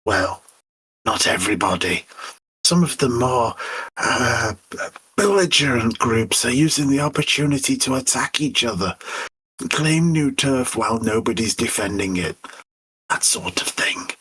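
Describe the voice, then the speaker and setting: A squat, cowardly demon, acted out by a man in an audio story. The voice is very raspy and sharp, with a nervous, hesitant whine. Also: medium pitch, expressive, a little slow, heavily acted. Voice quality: whining, husky. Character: cowardly whining male demon, squat and fat.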